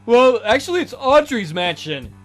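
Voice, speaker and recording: A man puts on a high-pitched, defensive nightclub bro for a visual novel. The voice is jittery and indignant, hesitating and then pushing words out with heavy emphasis. It swings widely in pitch, with music behind it. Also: big pitch swings, normal speed, heavily acted. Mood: casual, defensive. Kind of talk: voicing visual novel character dialogue.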